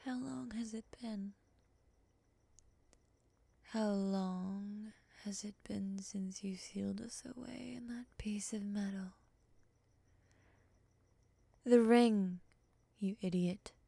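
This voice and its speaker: Woman as a breathy, slow, snarky moth monster woman. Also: mid-range voice, fairly even intonation, dark tone, no echo. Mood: sardonic, disdainful. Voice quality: breathy, half-whispered. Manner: sardonic, condescending, unhurried. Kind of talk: breathy ASMR roleplay acting.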